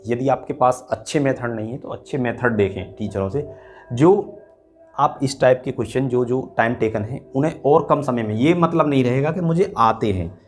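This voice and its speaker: North indian guy doing casual coaching advice, repeats himself, keeps checking in, music playing behind him. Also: mid-range voice. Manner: repetitive, often checks in with short tag phrases. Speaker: exam coaching tutor. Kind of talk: informal spoken exam strategy advice.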